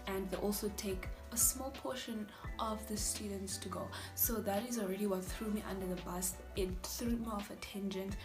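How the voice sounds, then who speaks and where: A South African woman in her twenties, a native Afrikaans speaker, telling her student story in a chatty, animated way with breathy asides. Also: mid-range voice. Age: 20s. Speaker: vlogger, university student. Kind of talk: candid personal storytelling vlog.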